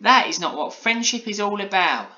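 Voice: medium pitch, animated, normal speed, pushed and bright, highs cut off, pitched above the performer's own voice. A storybook reader voicing an earnest, wistful nine-year-old girl who exclaims and is easily amazed.

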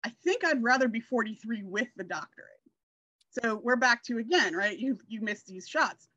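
Bright-voiced woman, slightly muffled. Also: on the high side, expressive, moderate pace, no room sound. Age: 40s. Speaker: guest sharing her success story. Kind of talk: casual personal storytelling. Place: indoor room, video call. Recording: slightly dull video call audio.

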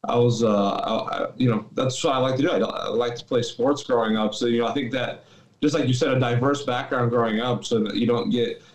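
A young man from Greece, a guest on a podcast, talking in a relaxed way with lots of fillers over a video call. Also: mid-range voice, a little fast, echoey room. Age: young adult. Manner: relaxed, many fillers and restarts. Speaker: guest athlete. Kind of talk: casual interview chat. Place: small room at home.

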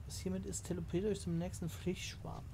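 A man hosting a gaming channel, chatting casually through his play and explaining it to viewers, his voice slightly hoarse from a cold. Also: high-pitched, level tone, fairly quick, dark tone, a little hiss or hum. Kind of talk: casual let's play commentary.

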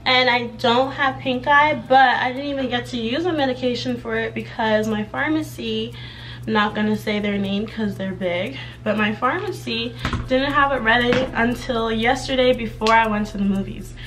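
Slightly hoarse young woman vlogging. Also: on the high side, moderate pace, a bit bright, slight background noise, rough recording. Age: young adult. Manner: rambling, talks while straightening her hair. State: slightly hoarse from recent laryngitis. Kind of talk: casual chatty vlog update. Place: bedroom with clothes rack, indoors.

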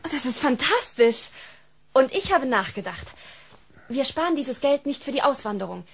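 A woman's voice: high-pitched, lively intonation, moderate pace, a bit bright, slightly rough, exaggerated voice. An actress playing a tender, affectionate woman soothing her partner in a German radio drama. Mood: tender, hopeful. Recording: studio drama with faint background noise.

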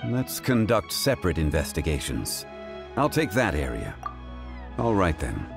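A calm, dignified male leader from a video game. His voice sits low and moves with expressive weight. He speaks formally and with authority over clear background music. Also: heavily acted. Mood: composed, commanding. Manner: formal, composed, measured. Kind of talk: video game character dialogue.

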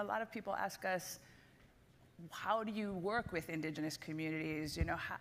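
A middle-aged woman moderating a panel on a large conference stage, with a very low, gravelly voice. She asks her questions in a loose, conversational way, leaning on "you know", and faint noise sits behind her. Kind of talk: panel discussion.

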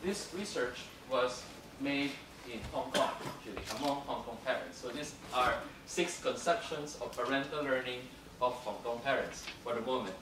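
A man giving an academic lecture, halting and full of fillers, switching between English and Cantonese. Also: mid-range voice. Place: lecture room. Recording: echoey room, distant, noisy.

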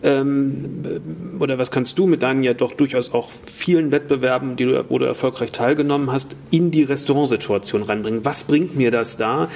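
A man's voice: mid-range voice, fairly even intonation, fairly quick, hardly any pauses, a little mellow. A man hosting an interview podcast, asking long, rambling questions that he restarts along the way. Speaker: podcast host.

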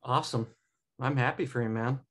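A man's voice: medium pitch, brisk, close to an everyday voice. An American man playing a casual, defensive buddy in a sitcom table read. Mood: easygoing. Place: dim indoor room, on a video call. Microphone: video call microphone.

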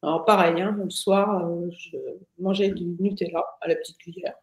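A woman of sixty-six gives a personal account in conversation, often halting to find her words. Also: on the low side, level tone, darkish tone. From Paris, France. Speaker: interview guest, retiree. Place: indoors, plain room on video call. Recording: roomy, echoing video call.

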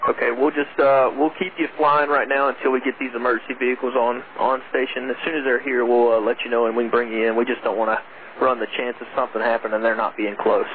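A man's voice: medium pitch, level tone, fast. A man working as an air traffic controller, giving plain-spoken procedural instructions to pilots over aviation radio. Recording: tinny narrowband radio with static. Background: radio noise.

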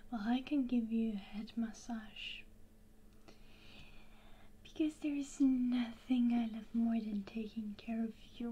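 A woman voicing a gentle, doting young dragon queen in a soft, breathy ASMR whisper, murmuring tenderly and close to the microphone. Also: a little high, highs cut off, a little hiss or hum. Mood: caring. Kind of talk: ASMR roleplay.

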